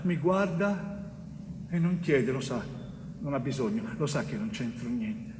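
A man acting a wrongly jailed young bricklayer in a theatrical monologue, anguished and pleading, speaking in halting, emphatic phrases. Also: mid-range voice, lively intonation, background noise, close to an everyday voice. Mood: haunted remembering. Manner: dramatic, halting short phrases with emphatic repetition. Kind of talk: theatrical monologue, stage narration. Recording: reverberant echoing hall, music underneath.

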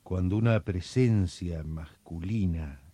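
A man playing the wry, suggestive storyteller of a radio serial, with theatrical, measured scripted narration. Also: low voice, warm tone, no room sound. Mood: intimate, suggestive.

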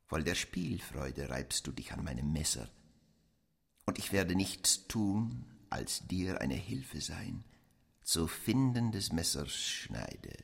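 Slow, very hoarse, menacing killer. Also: male voice, low-pitched, sing-song, exaggerated voice. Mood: sinister. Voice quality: hoarse, breathy, gravelly. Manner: slow, solemn, incantatory, half-whispered. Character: menacing killer who sweetly disclaims his deadly intent. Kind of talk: poetic radio play monologue. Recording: long cavernous reverb.

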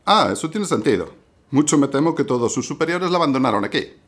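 An actor playing a weary, courteous but bitter naval commander with formal authority in a period audio drama. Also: male voice, medium pitch, animated, quick pace, close to an everyday voice. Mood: weary courtesy.

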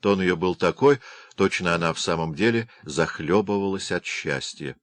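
Deep-voiced male audiobook narrator. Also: moderate pace, no room sound.

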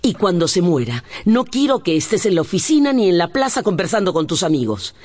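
Worried scolding mom, radio soap style, husky, very up and down, emotional. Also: female voice, lowish voice, sing-song, fairly quick, a little mellow. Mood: reproachful. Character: worried, reproachful mother of a village family. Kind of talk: radio drama acting.

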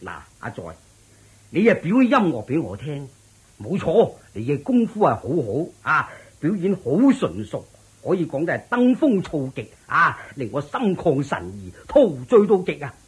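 A man's voice: a little high, very animated, moderate pace, breathy edge, exaggerated voice. A man with a Hong Kong Cantonese accent playing a smug, tricky, miserly lord in a radio drama, theatrical and condescending. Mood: smug lecturing.

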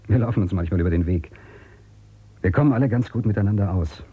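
An actor playing a brusque, suspicious outcast in a radio drama, with a commanding, curt way of questioning. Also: male voice, low voice, dark tone, husky, highs cut off. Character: brusque, suspicious male outcast hiding in abandoned future city. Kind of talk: German radio drama acting.

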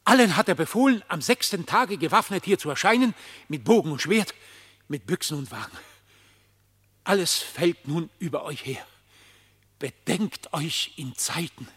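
A man playing an anxious, loyal badger nephew with a husky voice in a theatrical radio verse drama. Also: a little high, expressive, moderate pace, a bit bright. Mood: alarmed. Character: anxious loyal badger nephew warning his outlaw uncle.

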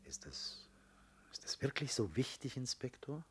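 An actor playing a reluctant hospital doctor, speaking gravely and hesitantly in a hushed voice in a 1970s radio play. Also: male voice, medium pitch, very animated, measured pace, faint music behind.

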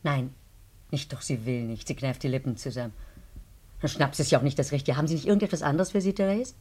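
A woman's voice: extremely low pitch, fast, darkish tone, slightly rough. An actress in a radio play playing a kindly, chatty, fussing lady visitor with a solicitous, coaxing manner. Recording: faintly noisy radio play recording with clicks.

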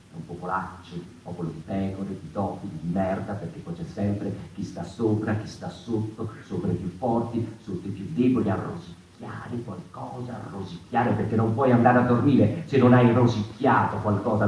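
An actor playing a rambling, impassioned storyteller in a conversational theatre monologue, digressing and seeking agreement. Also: male voice, on the low side, darkish tone, lightly acted. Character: rambling, impassioned male storyteller of the mouse folk. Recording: echoey, distant, noisy live theatre recording.

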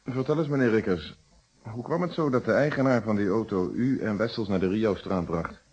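Low-voiced, courteous police inspector. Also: male voice, a little mellow, slightly rough. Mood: polite, probing. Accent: Netherlands Dutch. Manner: polite, measured questioning. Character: male police inspector, calm, probing, wry. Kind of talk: Dutch radio detective play acting. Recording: dull, muffled radio drama recording.

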